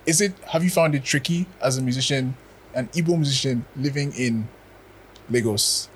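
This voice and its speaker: A man's voice: medium pitch, moderate pace, a little hiss or hum, low-quality recording. A man from Ghana hosting a podcast, chatty and conversational, with plenty of "you know" and "like". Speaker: podcast host. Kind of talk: casual podcast interview.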